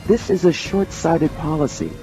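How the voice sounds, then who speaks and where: A man's voice: on the high side, normal speed, warm tone, faint music behind. A man reading scripted audiobook narration in a formal, expository manner.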